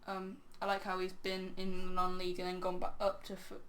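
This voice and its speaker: A woman with a crackly, hoarse voice giving casual, rambling video game commentary, full of "um" and "yeah". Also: on the low side, completely flat delivery, fairly quick, a bit bright. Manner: rambling, filler-heavy, "um, yeah". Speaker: game channel host.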